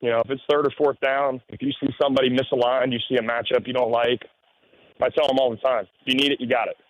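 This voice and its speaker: Muffled radio audio, male football coach explaining stuff off the cuff, mid pitch, lots of you knows. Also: little pitch movement, quick pace. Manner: explanatory, sprinkled with "you know". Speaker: high school football coach. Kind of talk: spontaneous interview answers.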